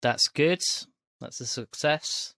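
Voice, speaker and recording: A man's voice: on the high side, takes it slowly, vocal fry, sharp tone, no room sound. Dry-sounding male game master. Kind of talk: casual tabletop game narration.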